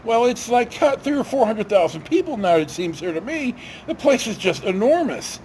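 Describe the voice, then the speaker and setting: An older, retired man telling stories casually to camera in a halting, rambling, self-correcting way. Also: very high-pitched, sing-song, a little mellow, a little hiss or hum, low-quality recording. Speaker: video storyteller.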